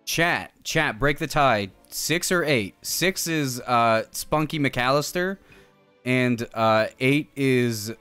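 A man's voice: mid-range voice, slow, sharp tone, smooth. A man co-hosting a game livestream with casual, playful banter, sometimes reading game text aloud. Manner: playful, jokey. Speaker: game streamer co-host. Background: game music.

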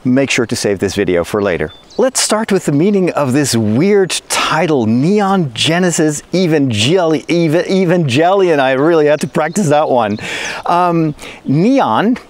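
A middle-aged priest whose first language is Dutch, talking casually into a phone held at arm's length out in the woods. His voice sits a little high for a man and swings up and down a lot as he explains things with eager, chatty energy. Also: a little slow. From Netherlands. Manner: chatty and enthusiastic, lively pitch swings. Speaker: priest and video host. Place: outdoors in a forest.

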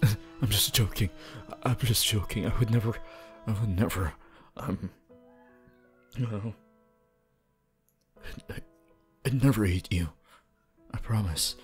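A man voicing a shy, gentle, apologetic young wolf student in an ASMR roleplay, hesitant, stammering and soft-spoken. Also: on the low side, slow, long pauses, faint music behind. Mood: flustered.

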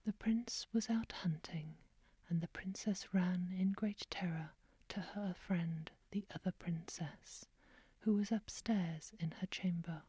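A woman whispering a fairy tale aloud in a soft ASMR style, close to the microphone. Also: on the low side, slow pace, husky, no room sound. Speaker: storyteller.

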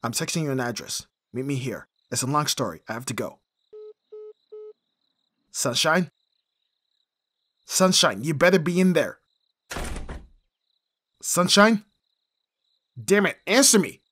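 A man's voice: fairly high pitch, animated, measured pace, pauses often, fairly bright tone, slight background noise. A man playing an abrasive but devoted bodyguard in an audio roleplay, intense and given to sighing and swearing. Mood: frantic. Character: abrasive male bodyguard, desperate and devoted to his client.

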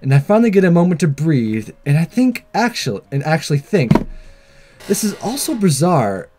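A man's voice: fairly high pitch, big pitch swings, normal speed, noisy background. A man hosting a gaming video, reading a visual novel aloud and chatting casually to viewers. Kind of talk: narrated let's play, scripted reading and casual sign-off.

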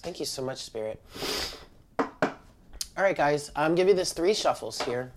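Tarot reader, congested stuffy nose, medium pitch, informal chatty delivery, spiritual card reading, speaking to viewers. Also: lively intonation, measured pace, background noise. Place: indoor room at a table.

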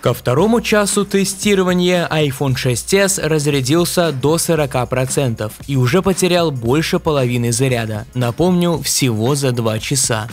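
A man's voice: a little high, moderate pace, hardly any pauses, soft background music. A young man with a slightly sick voice, giving a phone review as a voice-over and playfully calling his viewers grandkids. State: sick, strange voice. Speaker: tech reviewer.

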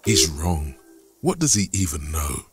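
A man voicing a brooding inner voice that narrates a video game in a measured, husky, gravelly way. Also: rumbling low, very animated, soft background music, full character voice. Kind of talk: scripted second-person narration.

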